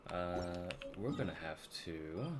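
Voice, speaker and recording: A man's voice: low voice, animated, takes it slowly, background noise. A man streaming a video game and chatting casually in slangy, informal language. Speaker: live streamer. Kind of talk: casual gaming stream chat.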